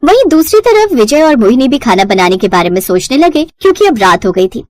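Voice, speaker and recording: A woman's voice: on the high side, sing-song, brisk, no echo. A woman narrating a scripted cartoon story as a storyteller.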